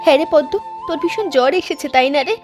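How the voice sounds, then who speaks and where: Cartoon voice, woman playing a motherly village woman, high voice, music underneath. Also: quick pace. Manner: motherly, pleading. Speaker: voice actor for mother and young girl. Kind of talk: cartoon voice acting.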